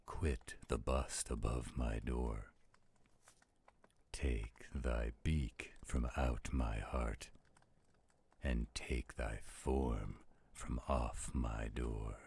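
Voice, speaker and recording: A man voicing a theatrical, flirtatious werewolf storyteller in an audio roleplay, with a gravelly, husky voice. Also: rumbling low, warm tone, exaggerated voice. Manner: slow, dramatic, playful. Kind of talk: audio roleplay acting with dramatic poem recitation.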